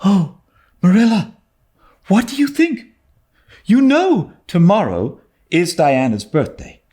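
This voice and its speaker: An excitable young girl from a storybook, acted out by a man, chattering in a very high voice. Her pitch leaps about dramatically as she pleads and gushes with breathless earnestness. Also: takes it slowly, full character voice. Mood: excited.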